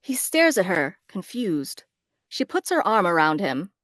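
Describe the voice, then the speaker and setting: Casting: woman narrator for script read, medium register, expressive range, music underneath. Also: on the low side, measured pace, dry recording, clean studio sound. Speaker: narrator reading stage directions.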